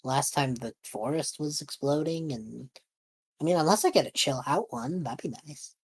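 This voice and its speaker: A woman with a husky voice, improvising in character in a tabletop roleplaying game. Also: low-pitched, animated, moderate pace, no room sound.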